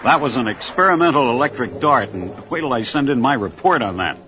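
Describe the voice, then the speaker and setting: A man's voice: medium pitch, expressive, brisk, muffled recording, noisy background, natural voice. An older man playing a firm, authoritative grandfather and boat captain in a children's adventure drama. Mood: proud, stern.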